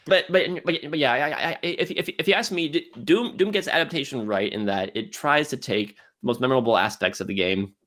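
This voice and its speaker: A man hosting a casual film discussion, speaking haltingly and often repeating words as he works toward his point. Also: medium pitch, a bit bright. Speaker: film podcast host.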